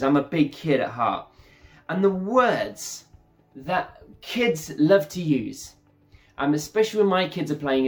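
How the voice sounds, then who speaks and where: A man preaching an enthusiastic, conversational sermon who talks to his listeners as "guys", over faint background music. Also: on the high side.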